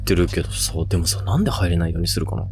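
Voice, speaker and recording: A man's voice: low voice, animated, racing, darkish tone, a little hiss or hum. A man hosting a ghost-spot exploration, explaining the location outdoors and chatting casually with a companion. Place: outdoors on location in a seaside park at night.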